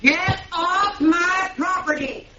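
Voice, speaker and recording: A woman's voice: very high voice, takes it slowly, sharp tone, exaggerated voice. An actress in an old radio western playing a stubborn frontier woman who makes blunt, folksy threats to defend her land. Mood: defiant, threatening. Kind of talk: 1950s radio western acting. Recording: muffled, noisy, clicking old radio recording.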